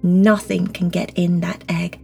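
A woman leads a guided meditation for children, speaking softly and breathily, often close to a whisper, in a gentle, reassuring way with simple words. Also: fairly low pitch, little pitch movement, unhurried, creaky. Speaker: guided meditation teacher and host. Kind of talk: children's guided meditation, slow soothing instruction. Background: soft background music.

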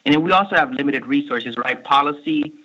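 A man in his thirties, born in Haiti and raised in Miami, with a Miami accent, answering interview questions in a halting way, full of fillers and restarts. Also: on the high side, pushed and bright. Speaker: state workforce official, guest. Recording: muffled lo-fi recording.

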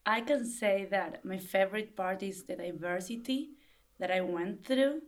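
A woman's voice: medium pitch, unhurried. Young Argentine woman, non-native speaker. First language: Spanish. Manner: thoughtful, searching for words. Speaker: language assistant, guest.

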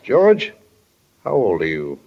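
A man's voice: fairly low pitch, wildly swooping pitch, slow, gravelly, heavily acted. A man playing a stern but kindly small-town father in a 1940s radio drama. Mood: gently reproving. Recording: dull 1940 radio recording.

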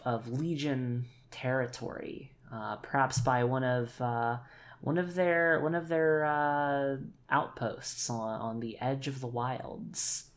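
A man improvising casually in a tabletop game, thinking aloud and repeating phrases as he goes. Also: mid-range voice. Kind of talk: casual improvised tabletop role-play chat.

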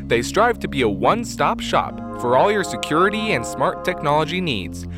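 A man working as a radio announcer and narrator, reading scripted introductions and sponsor messages. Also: fairly high pitch. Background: music with plucked guitar.

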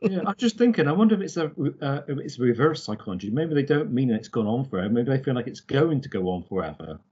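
A man co-hosting a podcast, discussing books casually and haltingly, repeating words as he thinks. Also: medium pitch, big pitch swings, warm tone, breathy edge, muffled recording. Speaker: podcast co-host.

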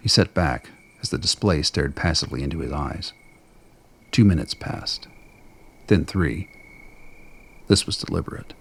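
Very deep, gravelly male narrator. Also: measured pace, pauses often, a bit bright. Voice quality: gravelly, husky. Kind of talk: scripted dramatic story narration.

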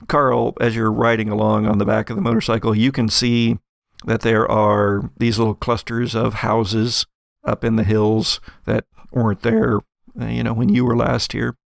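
An American man narrates a tabletop adventure as its game master. His voice has a creaky, fry-laden edge and sits very close and dry. He takes his time, feeling out descriptions in halting stretches at a slightly slow pace. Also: medium pitch, no echo. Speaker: game master of an actual-play audio drama.

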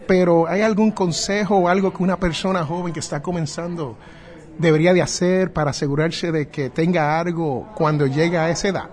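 A man's voice: a little high, steady tone, brisk, a little mellow. A man from New Jersey hosting a casual interview, inquisitive and rephrasing what he hears to check it. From New Jersey, United States. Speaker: podcast host, interviewer.

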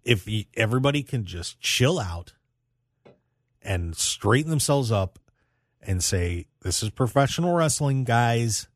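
A male talk radio host with a middling pitch, speaking casually but emphatically and pausing for drama. The sound is close and dry, as in a studio. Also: animated, no echo.

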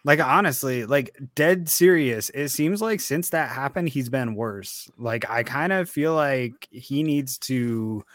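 A man's voice: mid-range voice, animated, takes it slowly, hardly any pauses, a bit bright, no echo. A man co-hosting a podcast, talking earnestly with lots of "like" fillers. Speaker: podcast co-host. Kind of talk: casual sports chat.

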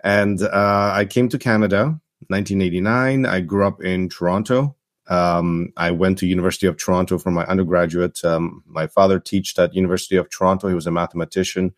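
Middle-aged male guest doctor, from Czechoslovakia, very low pitch, hesitant with fillers, dry close mic. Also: steady tone, no echo. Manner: halting, many uh and um fillers and restarts. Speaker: guest physician. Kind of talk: interview answer, recounting background.

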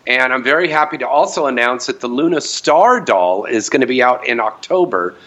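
A man's voice: medium pitch, lively intonation, a little fast, fairly bright tone. A middle-aged man hosting a live radio talk show from a home studio, with a rambling, upbeat announcer delivery and plenty of fillers.

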